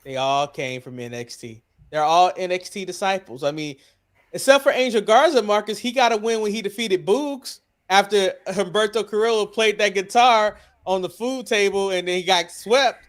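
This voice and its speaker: A man's voice: high-pitched, normal speed, fairly bright tone. An American man hosting a wrestling podcast, chatting casually and bluntly, swearing and putting questions to others. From United States. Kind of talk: casual opinionated sports chat.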